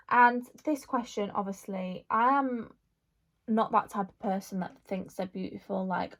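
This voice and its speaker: A woman, chatting casually through a makeup Q&A, rambling with lots of fillers. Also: medium pitch. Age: 20s. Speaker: vlogger.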